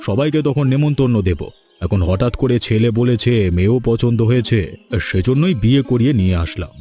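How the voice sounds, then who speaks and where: A middle-aged village patriarch from a cartoon tale, acted by a man, talkative, fussy and irritable. His voice is soft-edged and mid-pitched, and the words come quickly over background music. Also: close to an everyday voice. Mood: defensive. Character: fussy older village father-in-law, easily swayed and irritable. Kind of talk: animated cartoon story dialogue.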